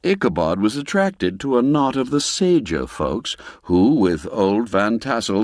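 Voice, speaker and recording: A man narrating a classic literary tale as a storyteller, theatrical and expressive, savoring each phrase with deliberate care. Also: lowish voice, no room sound, studio quality.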